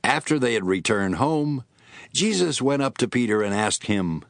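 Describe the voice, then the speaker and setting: A man's voice: mid-range voice, expressive, normal speed, no room sound, studio quality. A man giving scripted narration of scripture as the narrator of an audio drama. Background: music.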